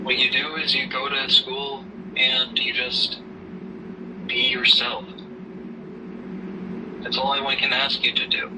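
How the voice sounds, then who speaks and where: Man acting as caring father, audio drama, mid-pitched, earnest, measured, consoling, off-mic, noisy background. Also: completely flat delivery, recorded from a distance, natural voice. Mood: reassuring.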